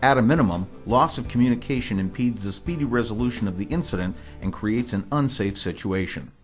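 An American man reading scripted promotional narration in a formal, measured, informational manner. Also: lowish voice, lively intonation. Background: music bed.

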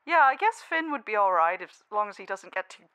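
Young space heroine for parody cartoon, played by a woman: very lively pitch, dry close sound, giddy, halting, exasperated. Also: no room sound. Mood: awkward.

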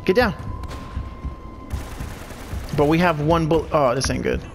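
A man's voice: on the high side, measured pace, creaky, soft background music. A young man with a stuffy, congested voice gives loose, profane commentary while playing a video game. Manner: loose, profane trash talk at enemies. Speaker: let's play host. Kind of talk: casual gameplay commentary.

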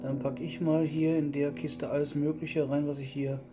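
A man's voice: a little high, little pitch movement, normal speed, low-quality recording. A man giving casual gameplay commentary as a let's player. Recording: loud guitar music underneath. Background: guitar music.

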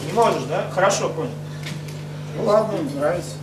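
A man hosting a live poetry evening on a club stage, brisk and bossy as he hurries the poets along. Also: high-pitched. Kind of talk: compering a live event. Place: stage of a rock cafe. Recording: echoey club room, far mic, audible noise.

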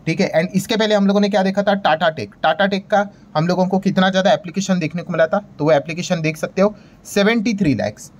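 Higher-voiced Indian man, emphatic explainer. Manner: conversational, repeats catchphrases and checks, mixes English terms into talk; emphatic, hyping. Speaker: stock market video host. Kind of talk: casual explainer commentary over screen graphics.

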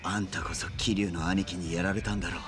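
An actor playing a rough young street tough in a video game, with a sullen, slangy, grudging way of talking. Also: male voice, sits low, steady tone, fast, music in the background, close to an everyday voice. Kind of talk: dramatic video game acting.

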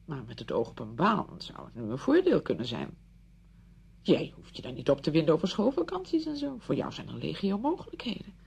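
A woman's voice: sits low, wildly swooping pitch, a little fast, vocal fry, a little mellow, slight background noise, full character voice. An actress playing a well-meaning, pushy woman friend in a radio play, insistent and easily defensive, full of practical advice. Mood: encouraging. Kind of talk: Dutch radio play acting.

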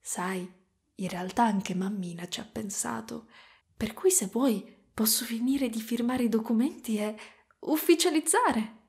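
A woman voicing a gentle, doting spider-woman caretaker in a soft, cooing ASMR roleplay. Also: fairly high pitch, measured pace, creaky. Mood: tender. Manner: soft, cooing, tender, sometimes whispering.

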